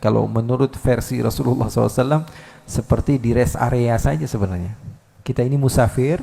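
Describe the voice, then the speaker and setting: A male preacher giving a live Islamic sermon, lively, swinging between loud calls and soft asides with many rhetorical questions. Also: medium pitch, vocal fry, in a large hall. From Indonesia. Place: large hall at a live religious gathering.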